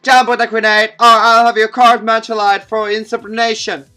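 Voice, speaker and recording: Man doing a loud, high-strung barking army commander. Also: little pitch movement, brisk, soft background music. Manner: shouted, commanding. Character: barking, ruthless male army commander in a parody.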